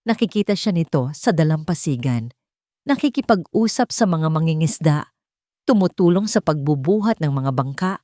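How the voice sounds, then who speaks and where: A woman narrating a romance drama story in an expressive, dramatic way. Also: fairly low pitch, dry recording, studio quality.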